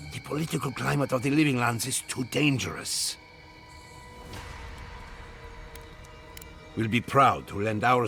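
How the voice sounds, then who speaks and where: An actor playing a principled, dryly affectionate scholar mage in a video game, speaking with wry expression. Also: male voice, medium pitch, bright, forceful tone. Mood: earnest. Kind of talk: scripted video game dialogue.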